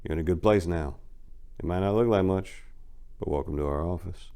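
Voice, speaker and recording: A smooth street gangster acted by a male reader speaks in a low, cool baritone. He sounds reassuring and unhurried, like a figure from an audiobook crime tale. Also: dark tone. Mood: calm, welcoming. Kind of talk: dramatic reading of crime fiction.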